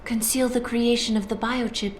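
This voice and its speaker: A woman voicing an enigmatic artificial-intelligence news anchor, measured, formal and solemn. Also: a little high, steady tone, close to an everyday voice. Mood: solemn composure. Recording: echoey, faint music behind.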